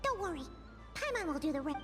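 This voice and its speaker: A woman voicing a tiny, childlike fairy companion in a video game, squeaky, excitable, eager and a little bossy. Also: fast, over loud music. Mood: eager, conspiratorial. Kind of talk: animated video game voice acting.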